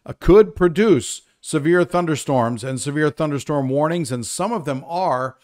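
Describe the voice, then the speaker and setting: A man's voice: a little high, moderate pace, a little mellow. A man presenting a live, unscripted weather briefing, explaining things in a conversational way.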